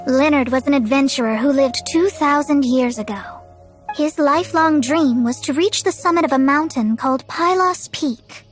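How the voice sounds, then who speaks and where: A woman's voice: high-pitched, moderate pace, faint music behind, natural voice. A woman voicing a proud, self-assured young astrologer in a video game, articulate and grandiose. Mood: explaining, assured.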